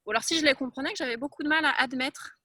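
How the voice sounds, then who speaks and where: A woman's voice: high voice, very fast, bright, forceful tone, no echo, studio quality. A woman from France, a Taoist teacher, talking frankly and emphatically as an interview guest. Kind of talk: reflective interview talk.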